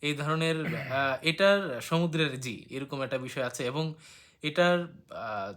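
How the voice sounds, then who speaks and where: A man explaining things informally in a discussion, hesitant and agreeable, with frequent filler pauses. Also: on the high side, a bit bright. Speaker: talk participant.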